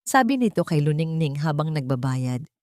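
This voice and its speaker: A woman's voice: deep voice, big pitch swings, normal speed, a little mellow, no room sound, studio quality. A woman narrating a scripted dramatic story.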